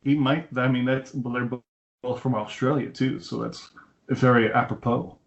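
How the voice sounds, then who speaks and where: A man with a mid-pitched voice chats as a film podcast co-host. He rambles conversationally, and the internet call leaves his sound dull and lo-fi. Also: little pitch movement, normal speed, creaky. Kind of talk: casual film review chat.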